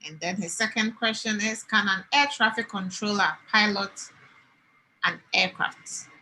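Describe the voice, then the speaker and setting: Very bright, sharp woman host. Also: medium pitch, steady tone, measured pace, a little hiss or hum, rough recording. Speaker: host relaying audience questions. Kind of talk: live moderated question session.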